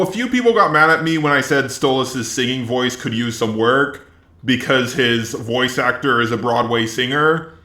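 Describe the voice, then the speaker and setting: A man's voice: medium pitch, pushed and bright, echoey room. A man's synthetic robot voice narrating a scripted review with sarcastic, profane asides. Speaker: video reviewer.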